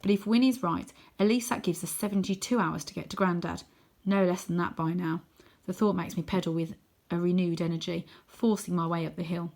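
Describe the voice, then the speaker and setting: A woman narrating a children's adventure book in an expressive, dramatic way, sometimes hushed for suspense. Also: fairly low pitch, brisk. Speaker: story reader.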